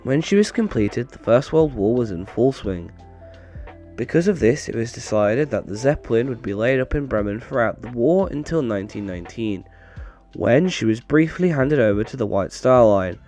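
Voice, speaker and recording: A man narrating in a wry, deadpan way, his voice slightly off as he recovers from a bad cold. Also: medium pitch. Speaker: video creator and narrator. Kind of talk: scripted narration with dry humour, casual outro.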